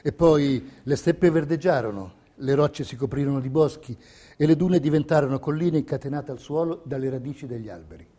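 Male narrator for documentary theatre, mellow and fairly high, rhetorical scripted delivery. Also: brisk. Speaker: stage narrator.

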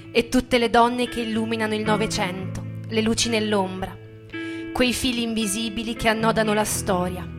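Woman reading dramatically over guitar. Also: a little high. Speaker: stage performer. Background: plucked guitar music.

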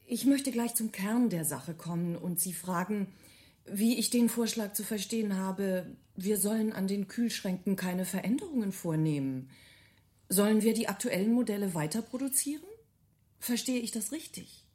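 A woman's voice: mid-range voice, normal speed, warm tone, natural voice. An actress in a radio play voicing a businesslike woman executive who is direct, sceptical and questioning. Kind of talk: radio play dialogue, boardroom talk.

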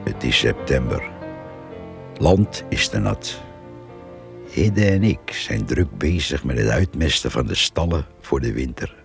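An actor playing a gruff, gossipy farmer who narrates a radio drama in a slow, musing, grumbling manner. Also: male voice, very deep voice, animated, faint music behind, exaggerated voice. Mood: matter-of-fact. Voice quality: gravelly, husky. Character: gruff rural farmer narrating his diary, gossipy and wry.

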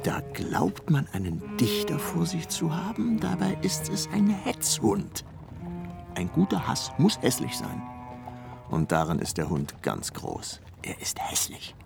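A grumbling, queasy poet mutters and groans with heavy vocal fry. The radio drama delivery is slow and theatrical, wide in pitch, over clear music. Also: male voice, wildly swooping pitch, full character voice. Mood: disgusted musing. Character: grumbling, ailing male poet Goethe with queasy stomach. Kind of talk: German radio drama acting.

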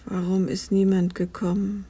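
Hushed, breathy, weary aging wife. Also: female voice, mid-range voice, level tone, moderate pace. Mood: hushed grief. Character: devoted, weary aging wife, tender and grieving. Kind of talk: 1950s German radio drama acting. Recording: old 1950 radio production.

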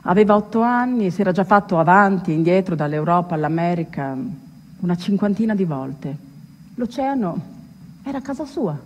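A woman actor delivering a theatrical storytelling monologue, phrasing deliberately and dramatically with pauses. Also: fairly low pitch, lively intonation, darkish tone, muffled recording. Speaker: stage actress narrator. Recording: echoey reverberant hall, music underneath. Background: music.

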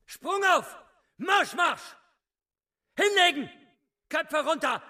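An actor playing a pompous, tyrannical drill sergeant in a German radio drama, with clipped, domineering military delivery and a piercing bark. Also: male voice, extremely high pitch, animated, slow, bright, forceful tone, shouting, heavily acted. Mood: barking commands.

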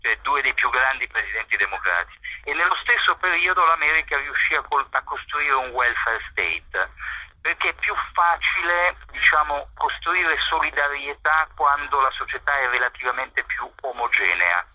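A man, a journalist, answering interview questions in a measured way, pausing often with fillers. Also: medium pitch, animated, sharp tone, no room sound, poor audio. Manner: measured, hesitant with frequent fillers.

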